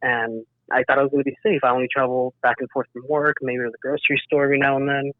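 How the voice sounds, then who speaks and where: A man's voice: medium pitch, level tone, quick pace, no echo. A man talking casually and earnestly as a podcast interview guest, giving a personal account over a remote call. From south Texas, United States. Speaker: interview guest, emergency dispatcher. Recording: thin phone-like band-limited sound.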